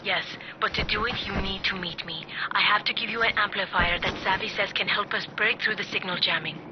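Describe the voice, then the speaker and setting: A man's voice: high voice, fairly quick, highs cut off, background noise, natural voice. A man playing an earnest, insistent resistance ally giving instructions in a video game. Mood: purposeful. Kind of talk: video game cutscene acting.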